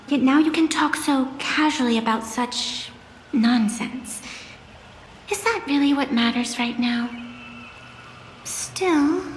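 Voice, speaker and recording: A poised, enigmatic young woman from a video game, high in voice and slow in delivery. She speaks formally, teasing with faintly haughty amusement, in a deep wash of reverb over music. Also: a little hiss or hum. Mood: amused, haughty. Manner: teasing, theatrical, unhurried formal speech. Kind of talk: scripted video game character acting. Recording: echoey, long reverb, music behind.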